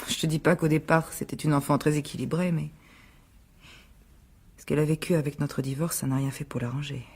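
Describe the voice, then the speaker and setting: An actress playing a defensive, exasperated middle-aged divorced mother in a French radio drama, argumentative, with a husky voice. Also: low voice, steady tone, a little fast, a little mellow. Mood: weary, subdued.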